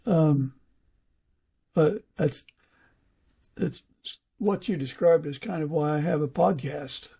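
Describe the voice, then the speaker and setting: A man hosting a podcast, chatting casually with a guest and pausing often mid-sentence. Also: on the high side, creaky, warm tone, slightly rough. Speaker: podcast host; fiction author. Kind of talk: casual interview chat.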